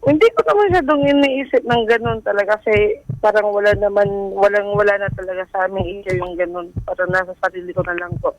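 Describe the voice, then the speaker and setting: A woman's voice: medium pitch, steady tone, quick pace, no room sound, poor audio. A woman calling in to a radio advice show, confiding about her personal life in a hesitant, casual way.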